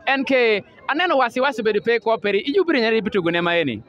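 High-voiced, fast sports interviewer. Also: male voice, dull audio. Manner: energetic, switches between English and local language. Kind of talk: post-match field interview. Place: outdoor football field among a large crowd. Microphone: handheld mic. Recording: crowd noise outdoors.

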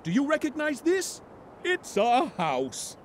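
Jolly, wildly swooping daddy pig. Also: male voice, very high-pitched, huge pitch swings, takes it slowly, a little hiss or hum, heavily acted. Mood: proud. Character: jolly, easygoing daddy pig, encouraging father. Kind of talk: children's cartoon game acting.

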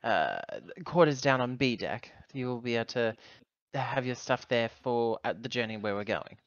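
A man's voice: mid-range voice, expressive, moderate pace, no echo, lo-fi sound, lightly acted. A man playing a courteous, inquisitive ship's officer in a tabletop game, speaking politely. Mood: welcoming.

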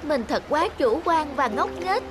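Super high kiddie cartoon animal, cute n dramatic, music playing behind. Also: female voice, a little slow. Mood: panicked, fretful. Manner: exclamatory, expressive. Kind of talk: children's cartoon dubbing.

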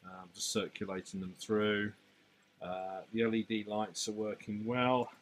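A man's voice: lowish voice, flat delivery, moderate pace, slight background noise. A man giving a casual, hesitant, unscripted hands-on update as a hobbyist vlogger, on an amateur phone video.

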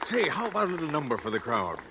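An actor playing a pushy, glad-handing, cajoling nightclub owner in a 1930s radio drama. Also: male voice, a little high, big pitch swings, racing, crowd noise, background noise. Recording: old 1930s radio recording.

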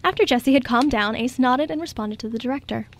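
A woman's voice: sits high, quick pace, a little hiss or hum. A woman reading scripted story narration as the narrator of a radio drama.

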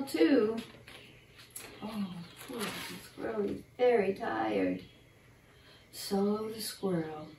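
An older woman reads a children's picture book in a gentle, unhurried, expressive bedtime style, talking to young listeners. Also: medium pitch. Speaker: storyteller reading a picture book. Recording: roomy, distant mic, faint background noise.

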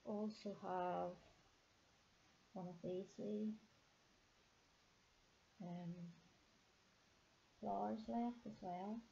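A woman, hoarse and gravelly from a sinus infection, chatting softly to herself as she decorates a planner at a table. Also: lowish voice, slight background noise. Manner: soft-spoken, musing aloud as she works. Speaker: planner vlogger. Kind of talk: casual narration while decorating. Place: indoors at a table, camera pointing down at her hands. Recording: slightly dull, lo-fi camera audio.

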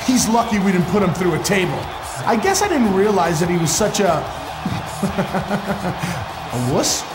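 Cocky, animated wrestling villain. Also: male voice, sits high, unhurried. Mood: mocking, smug. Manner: taunting. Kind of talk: taunting wrestling promo. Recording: echoey arena with music and crowd. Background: music and crowd.

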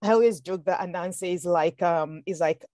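A woman from Nigeria speaks as a guest in a podcast conversation, careful and reflective, often circling back and restarting her sentences. Her voice sits lowish, and the recording is dry and close with no room sound. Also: normal speed, dark tone, no echo. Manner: thoughtful, with many restarts and fillers. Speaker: author guest.

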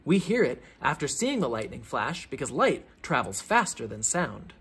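A man reading a children's science book aloud like a teacher, with theatrical emphasis and clear explanatory phrasing. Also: medium pitch, big pitch swings, moderate pace, fairly bright tone.

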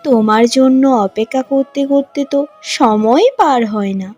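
A woman's voice: high voice, moderate pace, darkish tone. A woman playing a patient young village bride in a cartoon, pleading and deferential. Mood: affectionate. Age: young adult. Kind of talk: cartoon voice acting. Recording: music behind the voice.

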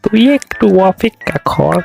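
Slow, high-pitched gloating devil. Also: male voice, expressive, creaky, a little mellow, faint music behind, full character voice. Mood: gloating menace. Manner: slow drawn-out threats. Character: gloating man-eating devil, menacing and bloodthirsty.

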